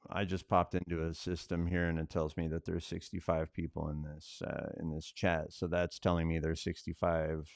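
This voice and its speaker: A man's voice: low voice, monotone, moderate pace, creaky, hardly any pauses, no room sound, clean studio sound. A man chatting casually on a gaming livestream, laid-back and musing aloud to viewers. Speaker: live streamer.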